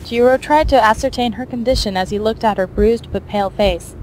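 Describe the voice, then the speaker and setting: A woman's voice: a little high, fairly quick, darkish tone, rough recording. Woman narrating fiction, faint background noise.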